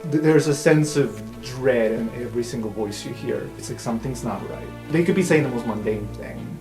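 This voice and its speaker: A man's voice: medium pitch, a little fast. Man narrating, roomy sound with music. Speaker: game master. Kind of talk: improvised tabletop game narration.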